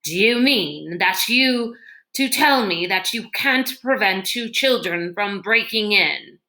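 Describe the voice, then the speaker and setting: A woman's voice: mid-range voice, expressive, slow pace, pushed and bright, exaggerated voice, low-quality recording. A woman voicing an irritable, coldly menacing male villain in a storybook, speaking formally with clipped sarcasm. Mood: incredulous, scornful.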